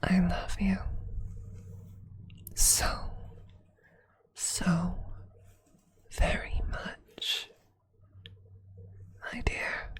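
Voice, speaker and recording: A woman voicing a tender, possessive female vampire lover in an ASMR roleplay, speaking in a slow, soothing, intimate breathy whisper with lingering pauses. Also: fairly low pitch, level tone. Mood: devoted, tender.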